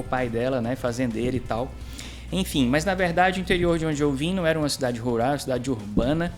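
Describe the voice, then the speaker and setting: Man, mineiro accent, pastor guest, chatty storyteller, faint music behind. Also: medium pitch. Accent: Minas Gerais Brazilian Portuguese. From interior of Minas Gerais, Brazil. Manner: chatty storyteller, tags sentences with "né?". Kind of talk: casual chat interview.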